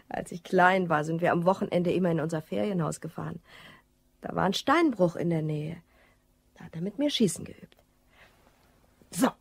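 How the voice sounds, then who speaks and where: An actress playing a cool, suspicious, quietly menacing woman in a German crime radio drama, pointed and dry. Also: lowish voice, lively intonation, moderate pace, creaky, pauses often, husky, dry recording, heavily acted. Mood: cool, reminiscing. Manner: pointed, dry, matter-of-fact.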